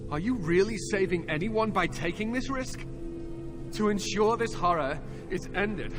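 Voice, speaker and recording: An actor playing a young, tormented captive templar in a fantasy game, anguished and halting, full of hatred and desperation, with music behind him. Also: male voice, high voice. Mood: desperate, urgent. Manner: anguished, halting, intense pleading. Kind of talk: dramatic fantasy video game acting.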